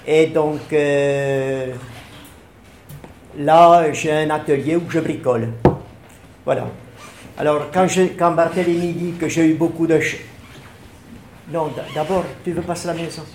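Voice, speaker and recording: An older man from southern France giving a hesitant, measured talk with slides, pausing often to find his words. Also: a little high, fairly even intonation, echoey room, background noise. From Ariège, southern France. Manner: hesitant, measured, many fillers. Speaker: former collaborator of an architect, speaker.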